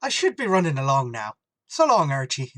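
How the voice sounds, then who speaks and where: An actor playing a stubborn, dim-witted policeman with deadpan comebacks in an old-time radio comedy. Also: mid-range voice, fairly quick, no room sound, clean studio sound. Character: dim-witted adult male beat cop.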